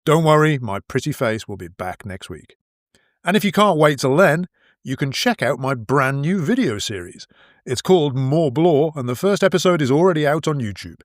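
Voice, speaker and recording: A man hosting a podcast for English learners, speaking clearly with playful introductions. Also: mid-range voice, no room sound, studio quality. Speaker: podcast host.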